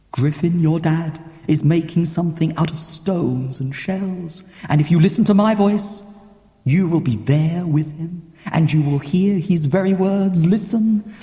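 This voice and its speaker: A man's voice: fairly high pitch, dark tone, pitched above the performer's own voice. A man voicing an intense, tenderly urging, mysterious woman in a theatrical audiobook reading. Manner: theatrical, addresses listener as beloved. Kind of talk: dramatic audiobook character reading. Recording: cassette audiobook with long reverb.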